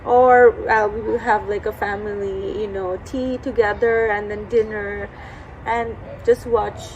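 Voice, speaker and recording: A woman's voice: medium pitch, fairly even intonation, a little slow, a little mellow, dull audio, slight background noise. A young woman from Bangladesh, talking casually in an interview with frequent fillers and light laughs. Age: young adult.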